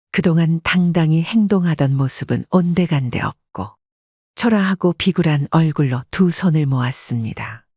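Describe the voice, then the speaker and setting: Husky woman narrating a dramatic story. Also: low voice, a little mellow, dry recording, muffled recording.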